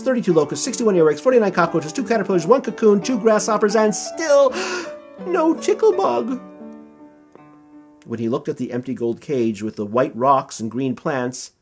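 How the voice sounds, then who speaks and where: A man reading a children's storybook aloud as storyteller, with expressive, dramatic emphasis. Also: sits high, moderate pace, soft background music.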